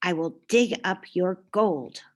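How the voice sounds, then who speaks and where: A woman's voice: on the low side, takes it slowly. A woman voicing an eager, determined little male pet in a children's storybook, earnest and full of wonder.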